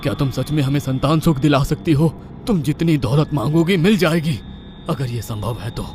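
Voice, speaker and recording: A man's voice: fairly high pitch, normal speed, pitched above the performer's own voice, close to an everyday voice. A man voicing a greedy, gullible merchant husband in a cartoon horror story, incredulous and eager, over background music. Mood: amazed, eager.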